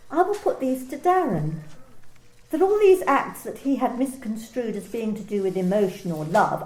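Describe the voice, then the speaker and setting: An amateur actress playing a formal, officious female workplace manager in a radio play, measured and precise. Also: mid-range voice, a little mellow, echoey room, a little hiss or hum, lightly acted, rough recording.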